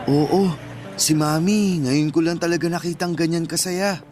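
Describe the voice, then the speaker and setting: Man acting as a polite, higher-voiced young Filipino. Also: steady tone, unhurried, music in the background, lightly acted. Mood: sincere. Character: polite, earnest young man, gentle devoted son. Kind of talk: Filipino radio drama acting.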